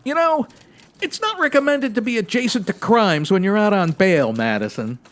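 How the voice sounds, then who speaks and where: Exasperated cop lieutenant, highly animated pitch, emphatic, scolding, by-the-book, radio comedy. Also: male voice, moderate pace. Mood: stern. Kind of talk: old-time radio comedy acting.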